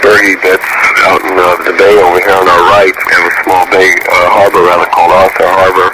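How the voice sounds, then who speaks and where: Deep, flat man through heavy radio static. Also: a little fast. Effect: shortwave radio transmission. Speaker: amateur radio operator at a remote station. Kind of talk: ham radio report. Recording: very noisy, static-laden vintage shortwave radio.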